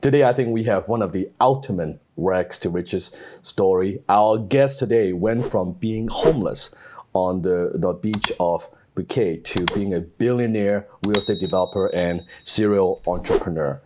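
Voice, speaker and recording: A man with a low voice hosts an interview. He is soft-spoken and murmurs quietly while his guest talks. He sounds middle-aged. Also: normal speed, background noise. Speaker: host.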